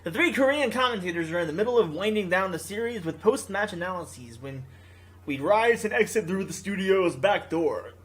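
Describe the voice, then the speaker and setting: Excitable, goofy gaming host reading aloud. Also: mid-range voice, moderate pace. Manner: excitable, goofy, rambling asides. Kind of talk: casual playthrough commentary and read-aloud.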